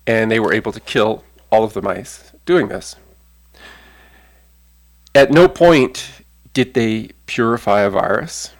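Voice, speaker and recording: Low-voiced man explaining. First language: not Italian. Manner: measured, explanatory, with frequent fillers. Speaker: lecturer. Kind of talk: spoken talk.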